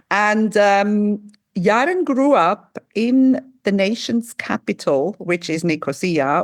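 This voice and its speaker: A woman's voice: medium pitch, dry recording. A woman from Switzerland hosting a podcast interview, chatty and rambling with frequent restarts. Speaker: podcast host. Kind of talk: casual interview.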